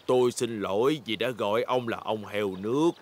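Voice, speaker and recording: A man's voice: fairly low pitch, normal speed, close to an everyday voice. A man voicing a grumpy, proud old dog captain in a children's cartoon dub. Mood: grudging. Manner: competitive, blustering. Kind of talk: dubbed children's cartoon dialogue.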